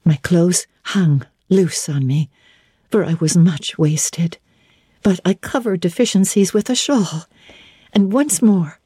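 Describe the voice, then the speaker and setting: Woman, literary narration, dark tone, slightly breathy husk, expressive, deliberate, formal diction. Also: on the low side, dry recording, clean studio sound. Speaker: audiobook narrator. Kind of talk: dramatic literary narration, first person.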